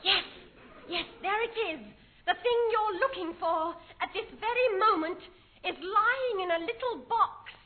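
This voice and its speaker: A woman's voice: very high-pitched, unhurried, a little hiss or hum. An actress playing a mysterious psychic woman with intense, dramatic delivery in a radio comedy sketch. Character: mysterious psychic woman claiming strange powers. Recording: tinny lo-fi radio broadcast.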